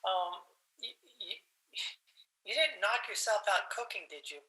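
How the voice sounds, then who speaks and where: A sheepish, stammering husband from a radio sitcom, played by a man. His voice is bright and high for a man, with a hesitant manner. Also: measured pace, heavily acted, poor audio. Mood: tentative. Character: sheepish, hesitant husband breaking bad news. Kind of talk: old-time radio sitcom acting.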